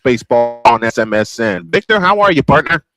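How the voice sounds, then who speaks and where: A man's voice: medium pitch, very animated, fairly quick, over music. A man doing old-school baseball play-by-play commentary as the host of a broadcast.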